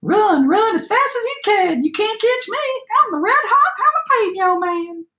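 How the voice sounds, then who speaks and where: A woman voicing a small, cocky, excitable gingerbread cowboy from a storybook, shouting sing-song taunting boasts. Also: extremely high pitch, normal speed, echoey room, pitched above the performer's own voice, heavily acted. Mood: gleeful taunting.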